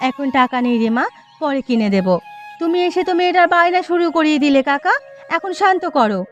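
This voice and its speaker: A woman's voice: sits high, animated, fairly quick, faint music behind. A woman playing a practical village mother, chiding but fond, in a cartoon story. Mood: mildly exasperated.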